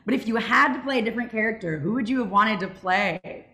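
A woman's voice: a little high, fast talker, pushed and bright, roomy sound. A young woman casually hosting a question-and-answer session over a video call. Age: young adult. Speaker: Q&A moderator from a student audio drama club. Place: indoors at home on a group video call. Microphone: video call microphone.

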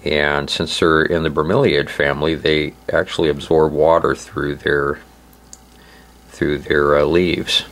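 Male instructional host, very deep and hoarse, slow halting delivery, informal. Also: fairly bright tone. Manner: halting, hesitant delivery with frequent pauses. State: hoarse, recovering from laryngitis. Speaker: host of a survival channel.

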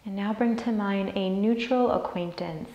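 A woman leading a guided meditation as a teacher, soothing and deliberate as she repeats phrases. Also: medium pitch, warm tone, lo-fi sound. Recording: roomy, echoey sound.

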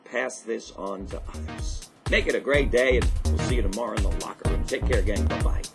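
A man's voice: on the low side, brisk, over music. A man in his fifties, a life coach hosting a daily motivational video message, talking energetically and emphatically to camera indoors. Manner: energetic, emphatic, upbeat pep talk. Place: indoors by a large window.

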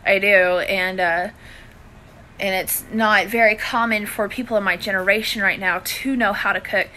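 A woman's voice: mid-range voice, fairly even intonation, moderate pace, bright, forceful tone, slight background noise. A woman in her thirties with a Southern US accent, chatting informally to live viewers indoors on a phone held at arm's length, using friendly endearments. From Louisiana, southern US. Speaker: cooking and budgeting live-stream host. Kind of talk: casual chat to live viewers. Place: indoors in a living room.

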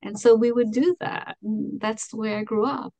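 A woman in her fifties from Queens, a guest on a video call, telling family stories in an animated way with many restarts. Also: a little high, moderate pace, dry recording. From Astoria, Queens, New York. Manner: animated storytelling, many restarts and "you know". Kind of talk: casual conversation. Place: home office with framed certificates.

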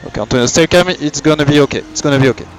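A man's voice: on the high side, very fast. A man working as a race engineer, giving reassuring instructions over team radio. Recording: race radio over music and car noise.

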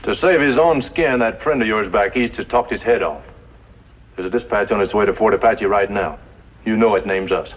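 A man acting a rough, blunt outlaw henchman and stagecoach robber with a gruff, urgent manner in a 1950s radio western. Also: on the low side, normal speed, close to an everyday voice. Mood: uneasy. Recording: noisy muffled vintage radio recording.

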